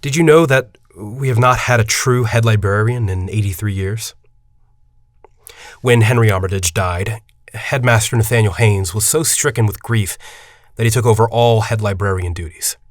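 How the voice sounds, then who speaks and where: An actor playing a forty-year-old university headmaster in an audio drama, a secretive academic who speaks in a deliberate, articulate, confiding way. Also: male voice, lowish voice, no echo, clean studio sound, natural voice. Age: 40s. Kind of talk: audio drama dialogue and storytelling.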